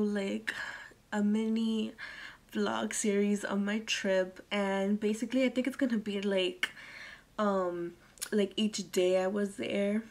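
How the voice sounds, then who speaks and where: A young woman vlogging from her bedroom, rambling casually with lots of fillers and soft trailing endings, into a close phone camera. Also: medium pitch, creaky. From Massachusetts. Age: young adult. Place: bedroom, indoors. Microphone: phone or webcam at arm's length.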